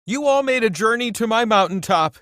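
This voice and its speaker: Whimsical all-knowing wheel, video game quiz host, theatrical, very animated pitch, drawn-out words, dry close recording. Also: male voice, no room sound. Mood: playful, grand. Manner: theatrical, playful, drawn-out emphasis with musing asides. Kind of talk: scripted trivia game hosting.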